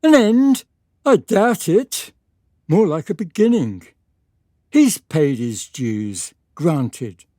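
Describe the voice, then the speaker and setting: A woman playing a stern, evasive lord and father in a period radio drama, speaking in a measured, formal, patronizing way. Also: low voice, big pitch swings, dark tone, dry recording, studio quality, exaggerated voice. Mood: evasive, regretful.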